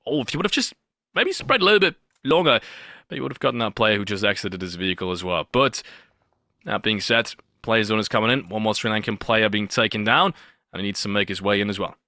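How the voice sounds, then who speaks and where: A man commentates on an esports match in a bright, forceful voice with a very dry, close sound. He is emphatic and repeats phrases to drive points home. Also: fairly low pitch, lively intonation, fast, no room sound. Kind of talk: live game commentary.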